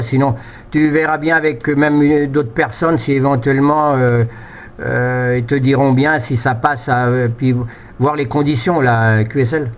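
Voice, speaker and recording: Hesitant man on a dull radio link. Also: medium pitch. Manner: hesitant, repeats words and phrases. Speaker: amateur radio operator. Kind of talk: casual radio chat giving technical advice. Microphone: two-way radio microphone.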